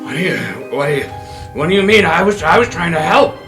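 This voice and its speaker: A man playing an anxious, defensive young lion-man sorcerer in a tabletop game, speaking haltingly. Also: on the high side, expressive, roomy sound, music in the background, voice pushed up.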